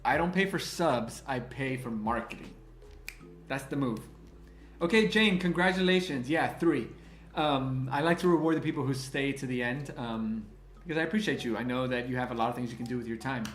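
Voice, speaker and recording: A man from Miami, bilingual with Spanish as his first language, hosting a live-stream card giveaway in an excitable, gushing way, thanking viewers by name. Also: medium pitch, moderate pace. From Miami, Florida, US. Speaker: livestream host running a card giveaway. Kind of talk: live-stream chat, counting out picks. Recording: echoey room with faint music.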